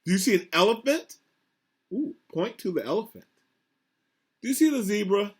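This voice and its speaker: High-pitched, very animated man reading aloud. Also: breathy edge. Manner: simple, instructive, pausing to ask questions. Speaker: school principal. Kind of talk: picture-book read-aloud to young children with questions.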